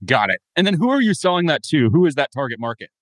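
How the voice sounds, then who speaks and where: A man hosting a podcast, reading a brisk scripted intro and then chatting in an upbeat way with his guest. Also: fairly high pitch, sing-song, a bit bright, no echo. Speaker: podcast host.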